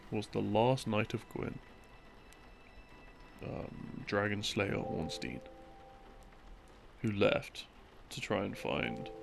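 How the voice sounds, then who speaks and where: A man playing an easygoing boyfriend who tells rambling bedtime game lore in a casual, unhurried, conversational way. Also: on the low side, vocal fry, exaggerated voice. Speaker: audio roleplay performer. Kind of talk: rambling bedtime storytelling, sleep-aid roleplay audio. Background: background music.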